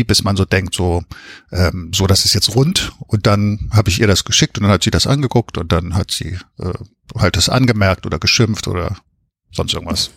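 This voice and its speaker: A man speaks in a low register on a dry, close recording. He is an author answering interview questions in a conversational, explanatory way, often tagging his sentences with a short question. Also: gravelly, a bit bright, breathy edge, no room sound.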